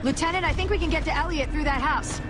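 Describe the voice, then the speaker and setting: A woman's voice: sits high, fast talker, over music, natural voice. A woman playing an alert soldier in a video game, urgent and clipped as she guides her squad. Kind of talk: dramatic video game acting.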